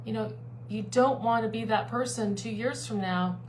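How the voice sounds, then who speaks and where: A woman's voice: on the high side, normal speed. A woman life coach giving an earnest, persuasive live-streamed talk to her online group, repeating her key phrases. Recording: steady background hum.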